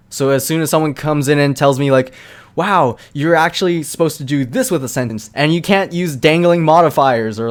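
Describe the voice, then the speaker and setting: A young man answering viewers' questions in a relaxed, rambling live-stream chat, full of fillers and quick laughs. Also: fairly high pitch.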